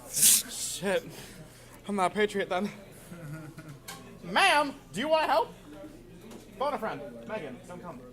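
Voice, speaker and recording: A young man with a very animated, slightly high voice answers quiz questions. He banters casually and jokes irreverently, his pitch swinging widely. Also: slow pace, long pauses. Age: young adult. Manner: joking, irreverent. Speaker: quiz contestant.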